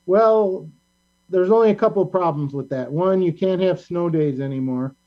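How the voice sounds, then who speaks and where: Casual discussion host, halting and rambling, mid-pitched, heard through muffled lo-fi call audio. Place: remote video call.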